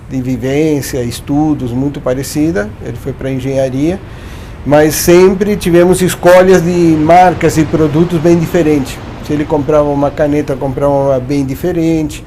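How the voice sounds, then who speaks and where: A man's voice: a little high, steady tone, normal speed, mellow, a little hiss or hum. A man from Uruguay speaking with a Uruguayan Spanish accent, a professor answering interview questions in a thoughtful, explanatory way with frequent restarts. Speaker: branding professor and guest.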